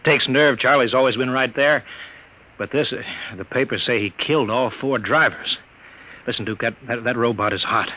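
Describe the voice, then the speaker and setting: A jumpy crook from an old radio play stammers and frets in a bright, tense voice. The sound is a dull, lo-fi 1950s broadcast. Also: male voice, mid-range voice, moderate pace. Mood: scared. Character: nervous, stammering male crook. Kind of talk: 1950s radio drama acting.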